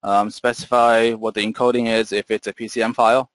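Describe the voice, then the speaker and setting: A man giving an explanatory software webinar presentation over slides, in a measured, businesslike manner as the product presenter. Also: on the low side, little pitch movement, dry recording.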